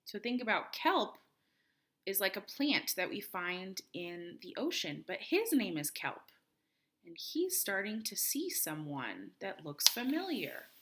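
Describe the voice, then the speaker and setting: A woman speaks as a primary school teacher leading storytime. Her pitch is average and her pace is slow. She addresses young listeners directly, mixing reading with guiding questions and prompts. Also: very animated, fairly bright tone. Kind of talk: read-aloud storytime for young children.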